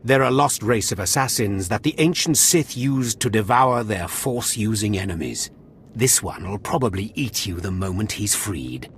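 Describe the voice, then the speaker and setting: A man's voice: on the low side, animated, normal speed, a bit bright, slight background noise. An actor voicing a harsh male overseer of a Sith academy, contemptuous and commanding. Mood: scornful. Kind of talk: game voice acting.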